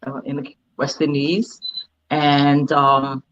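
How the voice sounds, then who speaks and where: Measured woman in her fifties, dull lo-fi audio. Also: low-pitched, flat delivery, fairly bright tone, a little hiss or hum. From Barbados, moved to the United States at ten. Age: 50s. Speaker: guest priestess. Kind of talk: casual reflective interview talk.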